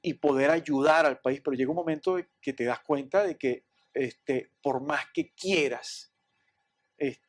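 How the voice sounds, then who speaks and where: A man with a Venezuelan accent answering interview questions in an earnest, halting way, often repeating words. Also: medium pitch. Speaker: interview guest, businessman.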